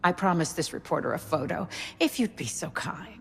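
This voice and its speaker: An actress playing an imperious, scheming politician's wife with a condescending, mock-polite manner in a video game. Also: female voice, fairly low pitch, expressive, fairly quick, gravelly. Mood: brisk, mock-polite. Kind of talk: cinematic video game acting.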